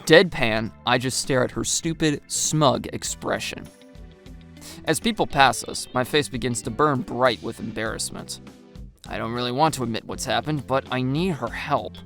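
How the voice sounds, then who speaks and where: A woman's voice: rumbling low, moderate pace, a bit bright, soft background music. A woman reading a scripted first-person story as its narrator.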